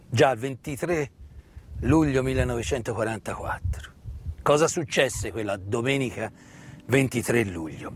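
A man delivering a theatrical storytelling monologue, emphatic and rhetorical, full of repetition and questions. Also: medium pitch. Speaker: stage narrator and actor. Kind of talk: theatrical monologue, conversational storytelling about wartime history. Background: live original music.

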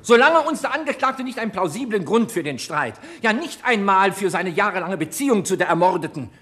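An actor playing a haughty, sarcastic public prosecutor in a radio crime play, speaking with biting irony and pointed rhetorical pauses. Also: male voice, high-pitched, sing-song, a bit bright. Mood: forceful, condemning. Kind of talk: German radio crime play acting, courtroom oratory.

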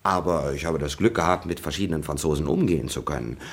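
A man's voice: quick pace, slightly rough, heavily acted. An actor playing a male servant who woos a maid with flowery, teasing talk in a radio play. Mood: flirtatious. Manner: flowery, teasing, playful pitch swings. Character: male servant posing as gallant, flirtatious.

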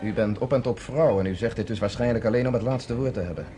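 Man doing a cocky confident leader, low voice, music under, old radio play. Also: fast, warm tone, close to an everyday voice. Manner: confident, assertive, persuasive. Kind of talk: radio drama acting.

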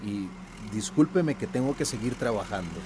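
An actor playing a dismissive company worker in a radio drama, curt and coldly polite. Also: male voice, on the low side, lively intonation, normal speed, lightly acted. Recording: clear engine and outdoor vehicle noise.

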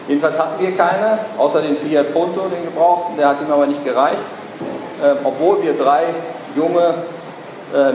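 A fairly high-voiced young man tells a story to an audience, pausing often with small fillers and dropping dry jokes. His voice rings through a big reverberant hall, with steady background noise under it. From Berlin, Germany. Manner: storytelling, frequent hesitations, wry asides. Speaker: founder giving a talk. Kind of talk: anecdotal talk to an audience. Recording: echoey hall recording with noise.

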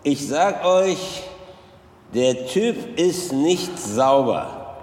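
An actor playing an erratic, self-important police detective in a radio drama, halting, theatrical and insistent, in an echoey space away from the microphone. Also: male voice, fairly high pitch, expressive, a little mellow, far from the mic. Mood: conspiratorial, gleeful. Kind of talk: German radio drama acting. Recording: echoey, reverberant, off the mic.

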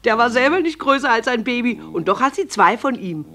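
Chattering, disapproving village gossip. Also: female voice, a little high, animated, brisk, bright, forceful tone. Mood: gossipy. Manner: chattering, indignant. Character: gossipy, disapproving small-town married woman. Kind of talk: literary radio drama.

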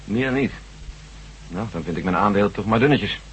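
An actor playing a loyal, hearty military general in a radio drama, reasoning like a cautious friend. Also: male voice, lowish voice, moderate pace, lightly acted, poor audio. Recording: faintly hissy old radio drama recording.

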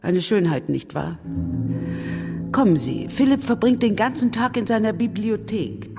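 An actress playing a dry, sardonic older aunt of a wealthy family in a radio drama. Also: female voice, medium pitch, expressive, measured pace, creaky, music in the background. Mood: wry.

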